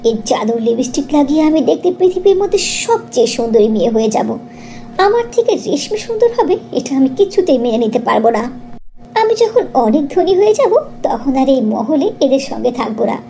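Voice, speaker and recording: Jealous, vain young sister, animated woman acting. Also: high voice, normal speed, vocal fry, slight background noise, heavily acted. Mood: jealous scheming.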